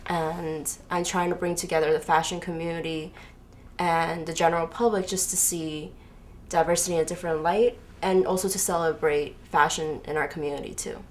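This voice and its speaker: Young woman, from Taiwan, lowish voice, explanatory interview guest, noisy background. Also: flat delivery, normal speed. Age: young adult. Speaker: interview guest, student fashion designer. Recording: noisy room, clear background noise.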